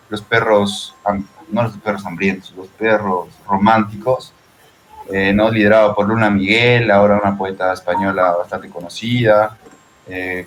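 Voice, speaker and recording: Low-voiced Peruvian man over background noise. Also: rough recording. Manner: reflective, often seeking agreement. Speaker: interview guest, poet and translator. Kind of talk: casual live interview.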